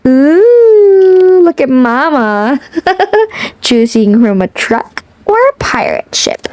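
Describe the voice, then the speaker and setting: A woman's voice: high voice, very animated, very slow, a little mellow. A woman reading a children's picture book aloud in a playful sing-song way, adding chatty little asides. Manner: chuckles often between lines. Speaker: children's read-aloud host.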